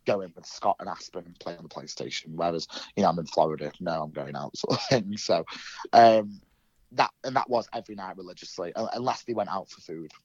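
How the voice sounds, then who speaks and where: A man's voice: deep voice, a little fast, darkish tone, husky, no room sound, lo-fi sound. A young man from England with a cold, sounding nasal, telling his story in an interview with many ums and restarts. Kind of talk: casual recounting in an interview.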